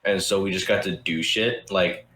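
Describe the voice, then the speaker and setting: A man's voice: low voice, steady tone, moderate pace, sharp tone, roomy sound. A young man hosting a podcast, telling a story in a loose, rambling way with lots of restarts and casual swearing. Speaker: podcast host.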